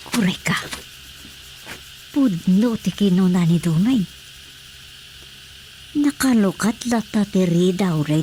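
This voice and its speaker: Casting: exasperated, muttering village busybody, lowish female voice, radio comedy, faint noise behind. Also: darkish tone. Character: nosy, muttering, exasperated village woman on an errand. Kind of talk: Ilocano radio drama comedy acting.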